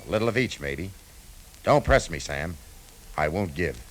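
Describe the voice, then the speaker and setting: An actor playing a tough, cagey hero in a 1950s radio crime drama, curt and wisecracking. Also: male voice, low voice, expressive, normal speed. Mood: guarded, stubborn. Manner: hard-boiled, curt, wisecracking. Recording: noisy 1950s radio recording.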